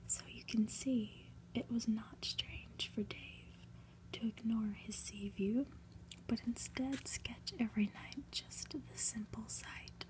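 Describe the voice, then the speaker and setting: Asmr lady whispering a story really slowly, soft and careful, lots of little pauses. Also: fairly high pitch, low-quality recording. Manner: soft, deliberate, lingering on phrases with pauses. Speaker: ASMR reader, channel host.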